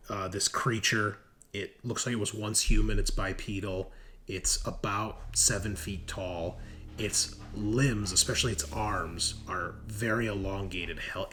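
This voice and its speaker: A man's voice: fairly low pitch, sharp tone, a little hiss or hum. A man running a tabletop game, explaining rules and describing scenes in a casual, profane, teasing way. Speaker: game master. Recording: music playing behind the voice. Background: music.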